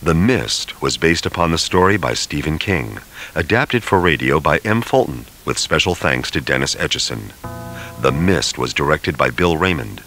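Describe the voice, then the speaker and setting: A man's voice: extremely low pitch, expressive, moderate pace, pushed and bright, faint music behind. A man reading radio drama credits as a scripted announcer.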